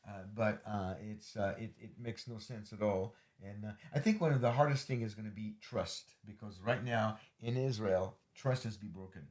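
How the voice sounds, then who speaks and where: A man's voice: on the low side, moderate pace, few pauses, a little mellow. A middle-aged man hosting a ministry podcast, closing an interview with rambling, filler-heavy but earnest appeals. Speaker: host, ministry leader.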